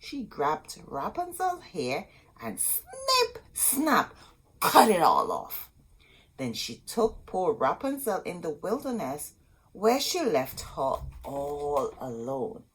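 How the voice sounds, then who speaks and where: A woman storyteller reading a storybook aloud expressively, in short dramatic phrases with pauses. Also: lowish voice, wildly swooping pitch, husky, poor audio.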